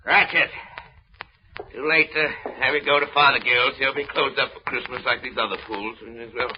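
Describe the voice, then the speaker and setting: A man's voice: mid-range voice, steady tone, moderate pace, natural voice. An actor playing a cold, miserly, grumbling old businessman with a curt, scornful bark, in a 1930s radio drama. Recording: noisy, muffled 1930s radio recording.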